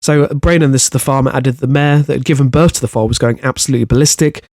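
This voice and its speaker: A man with a Welsh accent co-hosting a comic podcast, reading stories in a halting, giggly way. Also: mid-range voice, fairly even intonation, darkish tone, no room sound, studio quality. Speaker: podcast co-host. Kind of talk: comedic news reading.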